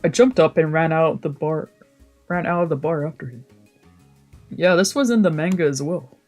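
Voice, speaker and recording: A woman's voice: low voice, normal speed, darkish tone. A woman streamer reading visual novel narration aloud on a let's play. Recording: music behind the voice.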